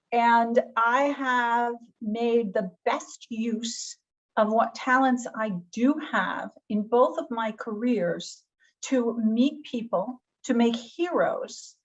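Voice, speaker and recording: A woman hosting a webinar over a video call, talking in a chatty, enthusiastic and self-deprecating way. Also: fairly high pitch. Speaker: webinar host. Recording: dull lo-fi video call audio.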